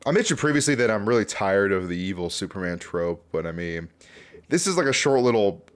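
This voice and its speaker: A man with a fairly low voice hosts a video with loose, unscripted commentary. He talks informally and chattily, wandering from one thought to the next like someone speaking casually to viewers. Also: very animated, a little fast. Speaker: YouTube host reviewing fan videos.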